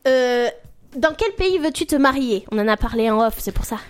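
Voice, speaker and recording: A woman's voice: on the high side, expressive, moderate pace, smooth. A woman hosting a radio show in casual, joking chat, informal and teasing in her slangy way of talking. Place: radio studio.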